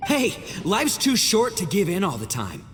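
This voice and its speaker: An actor playing a cocky, hot-headed, goofy young gang boss in a video game, with a boisterous swagger. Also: male voice, high-pitched, wildly swooping pitch, measured pace, fairly bright tone, a little hiss or hum, exaggerated voice. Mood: indignant. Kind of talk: animated video game voice acting. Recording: long reverb with game music behind.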